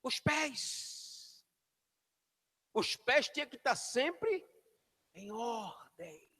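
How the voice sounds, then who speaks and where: A pastor preaching a sermon in a church, shouting then dropping to near whispers as he calls the congregation to repeat after him. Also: extremely high pitch, sing-song, very slow. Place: church hall. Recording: long hall reverb.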